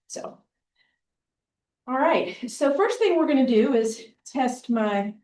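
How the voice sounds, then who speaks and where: A woman with a big voice presenting a teaching workshop as a librarian and facilitator, talking in a relaxed, conversational way with many ums. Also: mid-range voice. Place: meeting room or classroom. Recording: roomy echo, mic far off.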